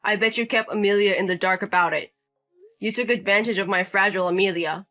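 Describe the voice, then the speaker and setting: A woman's voice: mid-range voice, fairly even intonation, a little fast, fairly bright tone, faint music behind, close to an everyday voice. A woman voicing a protective younger husband confronting his brother, blunt and confrontational. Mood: angry.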